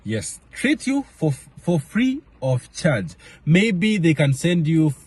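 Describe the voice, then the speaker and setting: A young man from Kenya talking casually to camera in a vlog, halting with many fillers and restarts. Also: fairly high pitch, very animated, darkish tone, slightly rough. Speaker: vlogger. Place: home in Madrid area.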